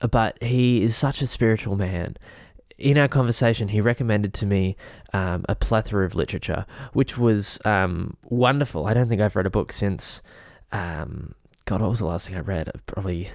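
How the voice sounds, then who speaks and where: A man hosting a comedic podcast, talking in a hesitant deadpan full of fillers and restarts, with a voice he calls shrill. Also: no echo, studio quality. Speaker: podcast host. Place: a friend's house.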